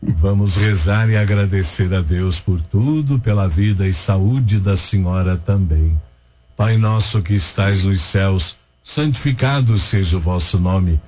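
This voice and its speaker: Brazilian male radio host, lowish voice, rhythmic reading of intentions, dull broadcast sound. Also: a little slow. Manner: steady, rhythmic listing of names and places. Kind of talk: reading listener intentions and leading prayers.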